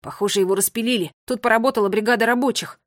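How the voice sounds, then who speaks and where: A woman's voice: a little high, steady tone, rapid-fire, no echo, studio quality, close to an everyday voice. A woman voicing a bold, confident schoolboy who leads the others in a children's adventure story.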